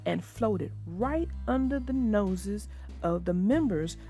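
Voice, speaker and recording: A woman narrating a children's book aloud and commenting on it, with expressive, emphatic, deliberate phrasing. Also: medium pitch, soft background music. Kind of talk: expressive storybook read-aloud with informal commentary.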